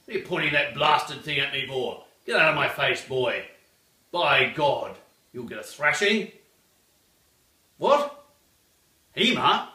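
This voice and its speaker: A man's voice: medium pitch, expressive, slow pace, long pauses, bright, forceful tone. A man playing a blustering old British war veteran with an upper-class English accent, ranting indignantly and guffawing in a comedy monologue. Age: older. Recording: echoey room, distant from mic.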